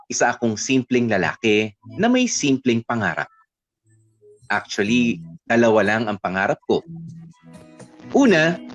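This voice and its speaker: A man's voice: medium pitch, fairly bright tone, dull audio. A Filipino man narrating a personal letter in the first person, dramatically and conversationally, slipping between two languages. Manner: conversational, switches between Tagalog and English. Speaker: radio storyteller reading a listener's letter. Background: background music.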